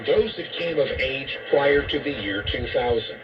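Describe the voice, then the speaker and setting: A man's voice: mid-range voice, lively intonation, unhurried, recorded from a distance. A man delivering an opinionated talk radio monologue over shortwave, emphatic and disapproving, posing rhetorical questions. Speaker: radio host and commentator. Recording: muffled, noisy shortwave radio broadcast.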